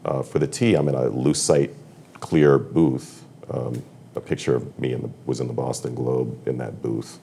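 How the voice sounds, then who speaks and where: A middle-aged man from Massachusetts, a voiceover artist chatting as a podcast guest, halting and thoughtful with frequent restarts. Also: rumbling low, steady tone, creaky, mellow, husky. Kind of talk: casual conversation.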